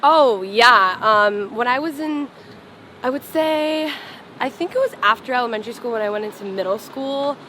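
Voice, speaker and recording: A woman's voice: on the high side, animated, normal speed, bright, forceful tone. A young woman, a singer born and raised in Los Angeles, chatting casually in an interview with lots of "like" fillers. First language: Farsi. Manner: chatty, full of "like" fillers, laughs often. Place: outdoors on a sidewalk. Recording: street noise behind.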